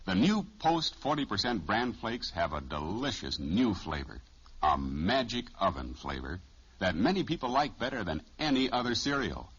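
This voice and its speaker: An American man delivering a 1950s radio advertising pitch as the commercial announcer. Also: big pitch swings, normal speed, fairly bright tone, husky. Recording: muffled vintage radio recording.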